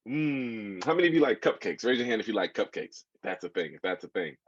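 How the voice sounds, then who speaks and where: An American man reads a story to children with a lively, swooping delivery. His voice is husky and mid-pitched, and he often turns to his listeners with asides. The sound is dry and a little dull. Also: fast, no echo. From United States. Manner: expressive, engaging listeners with asides. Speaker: children's author reading his book aloud. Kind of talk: animated storytelling to children, with questions.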